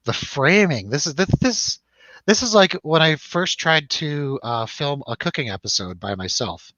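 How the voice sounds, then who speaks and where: Animated man hosting a film chat, dull sound. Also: on the high side, a little slow, dry recording. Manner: rambling, jokey, puts questions to co-host. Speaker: host of a film review show. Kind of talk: casual chatty film discussion over drinks.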